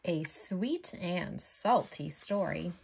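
A woman's voice: on the low side, lively intonation, slow, vocal fry, a little mellow, a little hiss or hum, low-quality recording. A middle-aged American woman reading a children's book aloud like a teacher. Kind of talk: children's storybook read-aloud.

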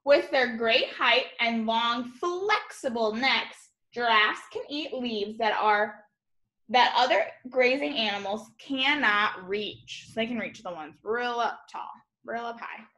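A woman's voice: a little high, takes it slowly, sharp tone, poor audio. A young woman teacher reading a children's nonfiction picture book aloud, expressively, adding informal explanations and exclamations for young listeners. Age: young adult. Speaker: elementary school teacher. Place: room at home, indoors.

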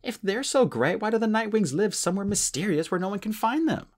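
A man's voice: sits high, lively intonation, brisk, voice pushed up. A man voicing a good-natured young male dragon who teases his friend. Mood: teasing.

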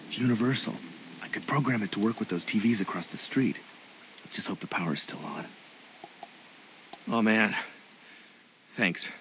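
An actor playing an earnest, bookish and anxious young male survivor in an animated video game. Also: fairly low pitch, normal speed, pauses often, slight background noise, poor audio. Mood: earnest, matter-of-fact.

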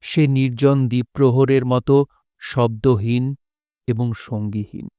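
A man narrating a literary audio drama in a measured, poetic recitation. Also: mid-range voice, fairly even intonation, mellow, a little hiss or hum.

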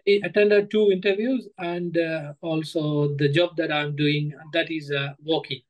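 Male interview guest, thirties, Sri Lankan accent, slightly high voice, hesitant delivery over a video call. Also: steady tone, a little slow, dull audio. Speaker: guest, former coaching student. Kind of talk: interview answers, personal account. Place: indoor room, on a video call.

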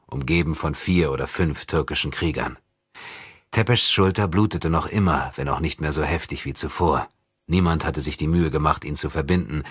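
Male audiobook narrator: extremely low, breathy-husky voice, measured dramatic reading, dry studio sound. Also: fairly bright tone, no echo. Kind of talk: scripted dramatic literary narration.

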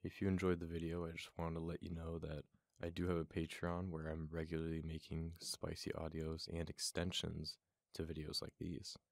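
A man with a deep voice reading a scripted channel outro as its host. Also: completely flat delivery, normal speed, vocal fry, hardly any pauses, no echo, studio quality.

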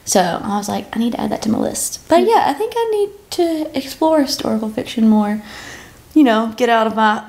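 Higher-voiced young American woman, chatty. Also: lively intonation, fairly quick, a little mellow. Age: 20s. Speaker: podcast co-host. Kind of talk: casual bookish chat. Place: living room couch, indoors.

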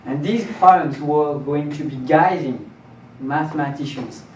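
A man's voice: fairly high pitch, measured pace, darkish tone. A young man giving an informal seminar lecture, putting questions to his listeners as he explains. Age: young adult. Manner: rhetorical questions, checks in with listeners. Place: small indoor seminar room. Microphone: far camera. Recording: distant roomy mic, dull, faint noise.

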